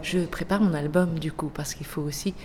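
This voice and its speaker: A woman's voice: deep voice, steady tone, moderate pace, a crowd in the background. A young woman from Chile, a singer, chatting casually in an interview with hesitant fillers. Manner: hesitant, many fillers, laughs softly. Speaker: singer guest.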